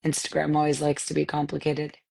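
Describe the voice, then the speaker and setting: A woman's voice: low-pitched, monotone, a little fast, gravelly, darkish tone, no room sound. A woman hosting a live online video interview, prompting her guest in a casual, encouraging way with light chuckles. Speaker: live-stream host, interviewer. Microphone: online live video call.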